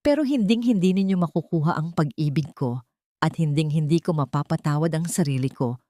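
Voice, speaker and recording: A woman's voice: low-pitched, animated, a little fast, mellow, no echo, studio quality. A woman voicing a bitter, defiant young heroine of an audio drama, accusing and emotional, with a Filipino accent. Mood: cold, defiant.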